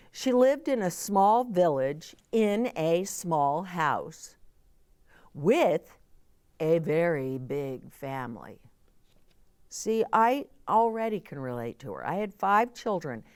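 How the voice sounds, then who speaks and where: A woman's voice: fairly low pitch, big pitch swings, slow pace, darkish tone, no echo, clean studio sound. A middle-aged woman reading a children's story aloud, expressively, with chatty asides explained simply as if to young children.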